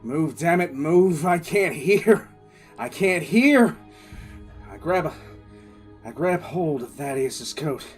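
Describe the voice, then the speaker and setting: An actor playing a frantic, bitter military commissar in an audio drama, telling his story in halting, intense first person. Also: fairly high pitch, lively intonation, faint music behind. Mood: dazed, pained. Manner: halting, intense, repeats words. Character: male military commissar, wounded, frantic, bitter survivor recounting disaster.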